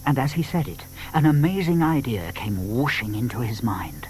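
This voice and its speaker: A man narrating a children's story in an expressive, dramatic storytelling manner. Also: mid-range voice, moderate pace, slight background noise, low-quality recording.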